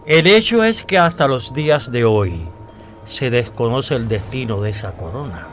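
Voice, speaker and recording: A male actor plays a devout man with secrets to tell in a radio drama. His pitch moves in lively swings as he recounts things deliberately and dramatically, pausing and hesitating. Faint music sits behind the voice. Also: natural voice. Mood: confiding, suspenseful. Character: devout, talkative male storyteller revealing old secrets.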